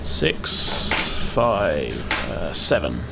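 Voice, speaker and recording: A man's voice: extremely low pitch, sing-song, drawn-out words, gravelly, heavy background noise. A man running a tabletop roleplaying game, narrating casually and off the cuff in an amused manner. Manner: amused, chuckles while narrating. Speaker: game master. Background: loud music.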